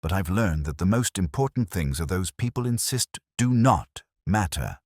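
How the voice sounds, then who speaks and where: A man narrating first-person detective fiction in a measured, literary, brooding manner. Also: low voice, lively intonation, a little mellow, dry recording, studio quality. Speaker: audiobook-style narrator.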